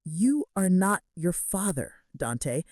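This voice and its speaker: A devoted young heroine, acted by a woman narrator, firm and earnest with the man she loves. Her intonation is lively at a middle pitch, recorded dry for a romance audiobook. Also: slow, no room sound, exaggerated voice. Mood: firm, tender.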